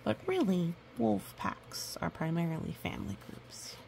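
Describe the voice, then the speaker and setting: A woman reading a picture book aloud to children, gentle and nurturing, with chatty asides. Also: on the low side, very animated, a little slow, creaky, a little hiss or hum.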